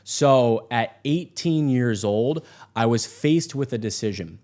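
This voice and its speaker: A man's voice: medium pitch, animated, slow, darkish tone. Young American man, earnest and emphatic. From Vermont, United States. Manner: earnest, emphatic, repeats phrases, often checks with "right?". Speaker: sales entrepreneur introducing himself. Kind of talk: unscripted personal story told to an audience.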